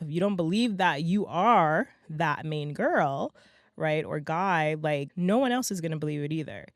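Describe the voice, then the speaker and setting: A young adult woman hosting her own podcast in a casual, motivational monologue. Her voice is slightly hoarse and raspy, and she talks emphatically, often tagging lines with "right?" and "okay?". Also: on the low side, no echo, studio quality. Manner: chatty, emphatic, peppers talk with "right?" and "okay?". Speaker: podcast host.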